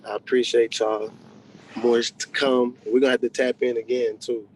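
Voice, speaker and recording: A man's voice: mid-range voice, little pitch movement, moderate pace, slight background noise, poor audio. A young man speaks in a medium register. He is a rapper giving an interview, keeping it casual and conversational with plenty of slang. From Texas, United States. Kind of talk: casual chat. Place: remote video call.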